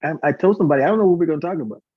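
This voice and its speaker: A man chatting casually as a guest singer, talkative and effusive, rambling excitedly about his plans and fellow performers. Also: fairly high pitch, very fast, narrow, tinny audio. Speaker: guest, singer and concert organiser. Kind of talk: casual live chat, talkative promotion.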